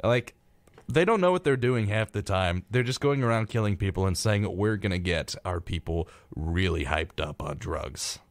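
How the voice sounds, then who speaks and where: A man's voice: sits low, lively intonation, normal speed, no room sound. A man co-hosting a casual live radio show, reading through a rundown and tossing in flippant, irreverent asides. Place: radio studio.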